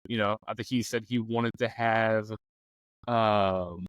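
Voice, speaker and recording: A man's voice: on the low side, fairly even intonation, slow pace, clean tone, no room sound, lo-fi sound. A man talking casually in a loose, informal way, thinking aloud as he offers advice in a discussion. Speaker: discussion panelist on a game master advice show. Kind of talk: casual chat.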